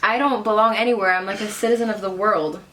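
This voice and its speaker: Brief: young woman, German native speaker, talkative and rambling with lots of fillers, mid-pitched voice, recorded in an echoey room. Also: fairly even intonation, quick pace, fairly bright tone. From raised abroad in Cairo, Dubai and Shanghai. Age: young adult. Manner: chatty, laughs often, rambling with many fillers. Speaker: guest. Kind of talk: casual conversation.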